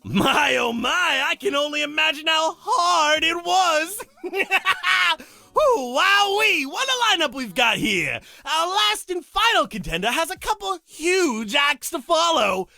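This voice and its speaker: A showboating demon host for a visual novel, super high and creaky, teasing and theatrical. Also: very animated, slow, bright, forceful tone, dry recording, faint music behind, heavily acted. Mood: gleeful. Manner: theatrical, teasing, gleefully announcing. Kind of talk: character acting in a visual novel.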